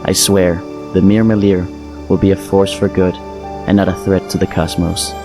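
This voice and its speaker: An actor playing a solemn, resolute fungal alien in an audio drama, speaking in a measured, halting, heartfelt way. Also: male voice, low-pitched, level tone, over music. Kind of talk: full-cast audio drama acting.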